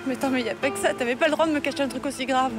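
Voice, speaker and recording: A woman's voice: high-pitched, steady tone, racing, over loud music, close to an everyday voice. An actress playing a hurt, reproachful young woman. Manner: sharp, accusing. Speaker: Samia. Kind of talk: soap opera acting.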